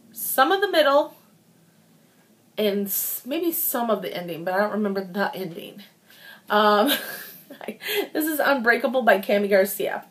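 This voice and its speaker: A woman giving a casual, chatty book review, informal and rambling as she thinks aloud and repeats words for emphasis. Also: fairly high pitch.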